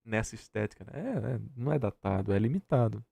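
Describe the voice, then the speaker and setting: Animated, blunt Brazilian man. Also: fairly low pitch, quick pace, vocal fry, a little hiss or hum. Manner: blunt, mocking, sweary. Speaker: reaction stream co-host. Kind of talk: casual argumentative commentary.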